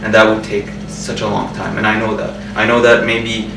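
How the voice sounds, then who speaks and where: Soft-spoken young man, distant roomy mic. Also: fairly low pitch, level tone, moderate pace. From Jordan. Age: 20s. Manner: soft-spoken, hesitant, many restarts and fillers. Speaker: interviewee. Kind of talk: personal interview. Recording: roomy sound from a distant mic with background hum.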